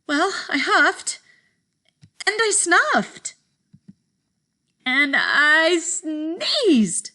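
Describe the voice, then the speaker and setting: Storybook wolf, voiced by a woman: indignant, folksy self-excuser, very lively melody, forceful bright tone. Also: sits high, very slow, pauses often, pitched above the performer's own voice, heavily acted. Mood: earnest, explaining. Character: self-excusing big bad wolf telling his side. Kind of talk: animated picture-book read-aloud.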